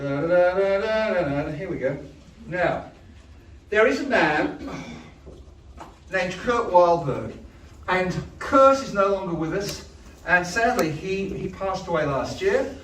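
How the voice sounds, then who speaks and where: Man with a loud voice, high-pitched, chatty storyteller, echoey hall, far off. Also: slight background noise. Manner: chatty, storytelling, asides to the crowd. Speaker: choir director. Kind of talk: speech to a live audience. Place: large hall.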